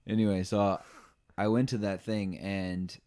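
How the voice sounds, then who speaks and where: A man's voice: fairly low pitch, level tone, a little slow, warm tone. A young man co-hosting a podcast in casual chat, laid-back, often laughing and trailing off mid-sentence. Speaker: podcast co-host.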